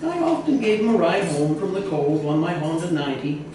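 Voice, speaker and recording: Man, storyteller, expressive live reading, heavy room echo, clear background noise. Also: a little high, fairly quick. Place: echoey indoor bistro. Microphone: distant microphone in the room. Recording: roomy, echoey, noisy, distant mic.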